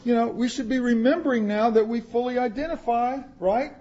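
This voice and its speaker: A man's voice: very high-pitched, fairly quick, mellow, dull audio. A man preaching a sermon, reading scripture aloud and explaining it in plain words, with asides to the congregation. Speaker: pastor.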